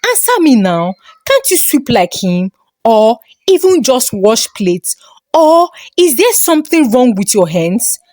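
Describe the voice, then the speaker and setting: A woman's voice: a little high, very animated, measured pace, darkish tone, no echo, studio quality. A woman voicing a scornful, nagging wife in a folktale, with a Nigerian accent and a sarcastic, scolding way of talking.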